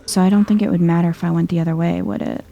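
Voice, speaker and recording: Soft-spoken woman, very dark mellow tone, creaky. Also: fairly low pitch, fairly even intonation, rapid-fire. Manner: hushed, chill, thinking aloud to herself. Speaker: video game streamer. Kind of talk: soft-spoken ASMR let's play commentary.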